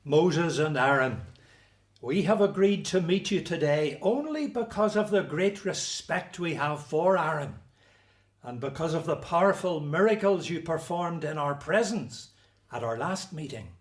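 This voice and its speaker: An actor playing a stern Hebrew elder in a radio Bible drama, speaking formally in an echoey room. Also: male voice, on the high side, lively intonation, a little slow. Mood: stern, challenging.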